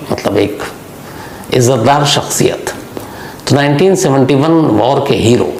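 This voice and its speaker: Gravelly male crime storyteller in echoey room. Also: mid-range voice. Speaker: host narrating true crime stories. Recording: echoey room, faint background noise.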